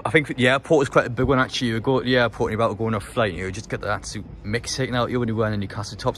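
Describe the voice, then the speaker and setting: A man's voice: fairly low pitch, a bit bright, slight background noise. A man interviewing football fans on the street, asking long rambling questions. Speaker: interviewer, YouTuber. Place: city street outdoors.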